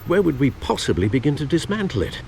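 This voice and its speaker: An actor playing a loyal older British butler in a video game, speaking formally and eloquently as a grave, watchful adviser. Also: male voice, on the high side, sing-song, fast, gravelly. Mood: incredulous, concerned. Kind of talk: dramatic video game acting.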